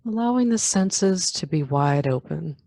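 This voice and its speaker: A woman leading a guided meditation in a calm, unhurried manner, leaving long pauses between phrases. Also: deep voice, no room sound, clean studio sound. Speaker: meditation guide, yoga nidra teacher. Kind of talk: guided meditation, body scan.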